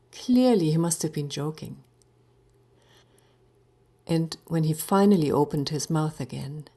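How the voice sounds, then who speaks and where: Low-voiced woman storyteller, slow and mellow. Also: gravelly, slightly rough. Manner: deliberate, theatrical, breaking into hushed phrases. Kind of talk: dramatic first-person story narration.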